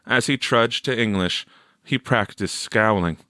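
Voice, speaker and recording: Low-voiced, unhurried male story narrator. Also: sharp tone, no room sound, studio quality. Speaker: audiobook reader.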